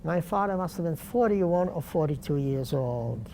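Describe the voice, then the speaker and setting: An older man from Poland, speaking slowly and deliberately with pauses in an oral history interview. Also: a little high, expressive, mellow, slight background noise. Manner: slow, deliberate, halting with pauses.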